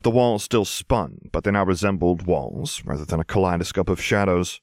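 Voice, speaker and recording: A man reading a scripted story as narrator, in a measured, expressive way. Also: deep voice, creaky, no room sound, studio quality. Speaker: audiobook narrator.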